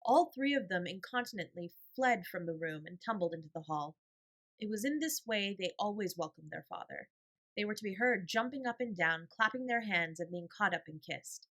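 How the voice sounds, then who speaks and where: A woman's voice: mid-range voice, expressive, normal speed, fairly bright tone. A woman reading a bedtime story as scripted audiobook narration.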